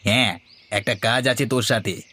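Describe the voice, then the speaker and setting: A man's voice: lowish voice, moderate pace, bright, forceful tone. A man playing a villager who acts as a persuasive matchmaker for his friend in a cartoon. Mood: hailing. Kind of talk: Bengali moral story cartoon dialogue.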